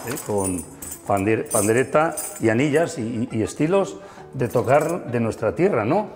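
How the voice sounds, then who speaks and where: A man's voice: medium pitch, expressive, moderate pace, a little mellow, big-room sound, faint music behind. A middle-aged man guiding an interview through a museum, enthusiastic and full of fillers and tag questions. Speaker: musician and museum guide. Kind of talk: explanatory interview chat. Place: museum room.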